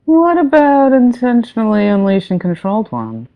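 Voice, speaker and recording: A woman playing a breezy, trigger-happy spaceship captain in a sci-fi comedy play, delivered with dry deadpan. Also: on the high side, moderate pace, lo-fi sound. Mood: wry.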